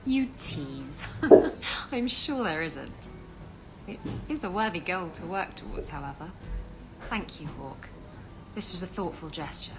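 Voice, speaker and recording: Slow-drawling, flirty roguish adventuress. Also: female voice, lowish voice, animated, music in the background. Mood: teasing delight. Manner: playful, drawling, suggestive. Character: flirty, teasing roguish adventuress, cheats at cards. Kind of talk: video game voice acting. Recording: game audio over clear background ambience.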